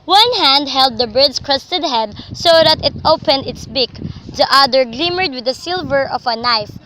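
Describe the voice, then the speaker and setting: A woman's voice: high voice, lively intonation, unhurried, sharp tone, slight background noise, low-quality recording. A woman reading scripted literary narration for a student radio drama, in a dramatic storytelling manner.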